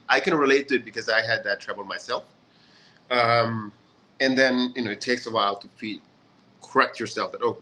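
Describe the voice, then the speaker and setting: A man hosting a casual online lesson, relaxed and chatty, with many fillers. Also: medium pitch, slight background noise, poor audio. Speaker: session host. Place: hotel in a city abroad.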